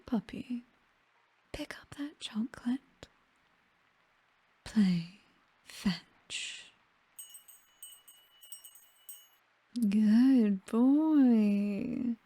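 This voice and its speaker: Female actor as British vampire mistress for ASMR roleplay: very soft dark tone, breathy whisper, possessive, condescending, seductive. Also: medium pitch, no echo. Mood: commanding, purring. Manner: slow, teasing, softly commanding. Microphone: close microphone, dry.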